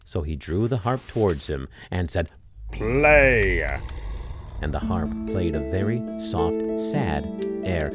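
A man narrating a fairy tale as an expressive storyteller, reading from a script. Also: sits low, measured pace. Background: music under the voice.

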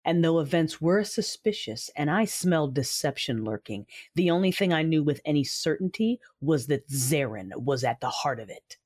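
A man narrating an audio drama in first person, cold, menacing and measured. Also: on the high side, expressive, no echo. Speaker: first-person narrator and dark side commander.

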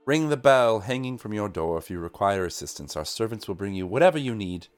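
A man's voice: lowish voice, big pitch swings, fairly quick, soft background music. A man voicing a fussy, nervous male palace steward in a fantasy court, sounding flustered and eager to please. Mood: formal.

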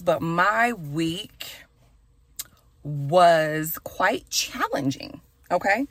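A woman in her thirties with a raspy, gravelly voice hosts her podcast from inside her car. She talks in a low, chatty way, full of "y'all" and "okay." The sound is dry and close, with no room echo. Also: a bit bright. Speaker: podcast host. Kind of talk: casual chat with prayer.

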